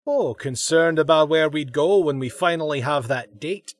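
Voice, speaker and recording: A man playing a cocky, defensive political staffer in a comedy drama, excitable and indignant. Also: on the high side, normal speed, dry recording, studio quality, lightly acted. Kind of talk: fast comedy audio drama dialogue.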